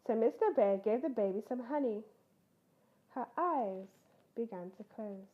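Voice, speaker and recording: A woman reading a children's bedtime story aloud in an expressive, animated way, acting out the characters. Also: on the high side, a little slow, phone-quality sound. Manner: animated, acts out character voices.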